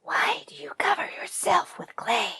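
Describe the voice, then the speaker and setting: Storybook tiger, acted by a woman: boastful predator, hushed whispery snarl, slow and menacing. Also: on the high side, big pitch swings, dry recording, voice pushed up, full character voice. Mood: snarling, probing. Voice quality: whispery, breathy growl.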